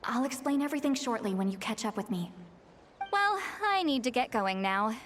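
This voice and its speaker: Poised scholarly game character, higher-pitched. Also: female voice, lively intonation, fairly bright tone, a little hiss or hum. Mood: discreet. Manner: measured, articulate, precise. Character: poised, scholarly young woman, calm and secretive. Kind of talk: video game dialogue, expository.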